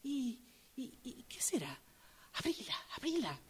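Woman playing pious greedy woman, theatrical, drawn-out pacing, excited stammer, higher-pitched, radio play. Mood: eager. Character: eager, greedy, pious woman exulting over found money.

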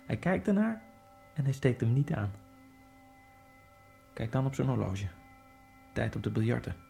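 A man's voice: medium pitch, gravelly. An actor playing the wry, brooding ghost of a murdered immigrant, telling his tale in an intimate, dramatic radio monologue. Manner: wry, dramatic, shifts from hushed to agitated outbursts.

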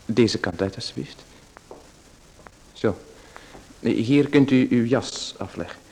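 A man's voice: mid-range voice, slow pace, creaky, mellow, husky, exaggerated voice, rough recording. A man playing a meek, polite host in a radio play, who hesitates and gives in to his visitor. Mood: hesitant.

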